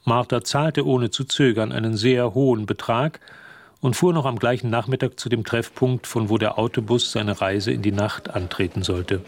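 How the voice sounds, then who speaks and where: A man's voice: medium pitch, moderate pace, no room sound. A man reading scripted narration as the storyteller of a radio drama. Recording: faint background noise.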